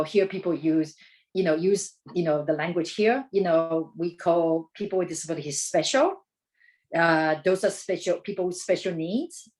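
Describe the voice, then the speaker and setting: A woman from Taiwan with a Taiwanese accent, speaking as an advocate in an informal presentation, haltingly, often rephrasing as she goes. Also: fairly low pitch, a little mellow, poor audio. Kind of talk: conversational presentation.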